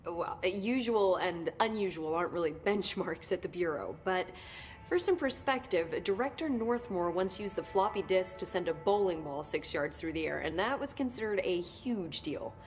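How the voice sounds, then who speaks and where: An actress playing an eager, nerdy research scientist in a video game, chatty, pedantic and easily sidetracked. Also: female voice, lowish voice, expressive, normal speed, faint music behind, natural voice. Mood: keen, analytical. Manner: chatty, pedantic, rambling about details. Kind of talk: video game dialogue acting.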